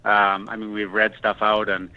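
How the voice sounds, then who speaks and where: A man's voice: lowish voice, monotone, moderate pace, smooth, dry recording, highs cut off. A man giving reflective, somewhat hesitant answers as a screenwriter in a casual interview.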